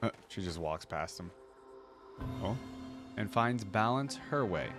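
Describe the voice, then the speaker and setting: A man with a fairly low voice reacts to game videos in a casual, informal way. He thinks aloud and drops in short interjections. Music plays clearly behind him. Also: lively intonation, measured pace. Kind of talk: casual gaming reaction commentary.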